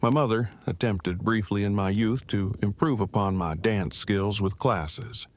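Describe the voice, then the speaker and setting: A man's voice: on the low side, a little slow, close to an everyday voice. A man playing an earnest, bookish husband in an audio drama, speaking in formal, precise, wordy phrases. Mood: wry, self-deprecating. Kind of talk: fan audio drama acting.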